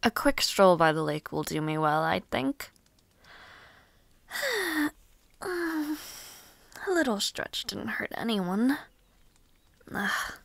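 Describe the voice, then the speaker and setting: Woman acting a slow-speaking, genteel young noble lady. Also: medium pitch, very animated, vocal fry, no echo, exaggerated voice. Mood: weary, musing. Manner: genteel, old-fashioned, chatty phrasing with soft laughs and sighs. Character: young noble lady mage, cheerful, headstrong, a bit clumsy. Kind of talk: scripted audio roleplay drama.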